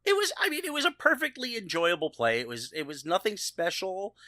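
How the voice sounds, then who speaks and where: Man, podcast co-host, bright forceful voice, animated intonation, halting casual delivery. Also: high voice, dry recording, studio quality. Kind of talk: casual discussion.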